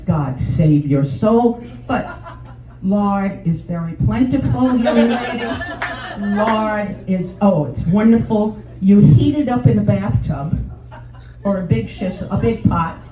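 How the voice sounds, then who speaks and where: An older woman with a Texan drawl delivers a bawdy stand-up bit, pitching her act like a saleswoman and singling out listeners. Her voice is mellow and dark, heard from a distance in a roomy, echoing venue. The sound is dull and muffled, with a faint hum of noise behind her. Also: animated, measured pace. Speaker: stand-up comedian in a sex-doctor persona. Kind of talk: stand-up routine, addressing audience members.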